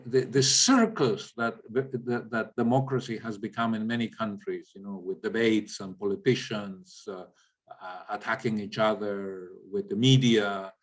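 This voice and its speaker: Male economist giving an informal talk. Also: fairly low pitch. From Latin America. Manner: explanatory, often checks in with "right?". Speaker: economist, guest speaker. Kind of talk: informal lecture with audience questions.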